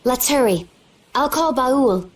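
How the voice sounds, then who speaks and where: A woman's voice: high voice, animated, slow pace. A woman voicing a cool, composed young warrior in a video game, with music behind her.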